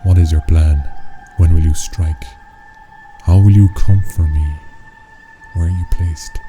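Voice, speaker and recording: An actor playing a brooding, menacing schemer obsessed with a sea beast, speaking in ominous, archaic musings in a radio play. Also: male voice, low voice, fairly even intonation, a little slow. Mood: ominous, taunting. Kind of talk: theatrical radio drama acting. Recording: music playing loudly behind.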